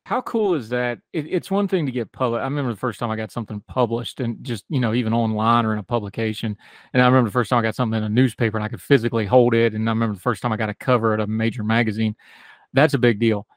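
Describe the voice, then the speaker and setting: A man's voice: medium pitch, steady tone, racing, no echo, studio quality. A man with an Appalachian accent hosting a talk radio show, folksy and chatty, interviewing a guest. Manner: folksy, talkative, self-deprecating.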